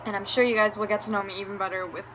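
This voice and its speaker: A woman's voice: medium pitch, little pitch movement, racing. Chatty young woman over fan hum. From Venezuela. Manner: chatty and candid, rambling with laughs. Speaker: beauty vlogger. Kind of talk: story time vlog. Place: room at home with a fan running.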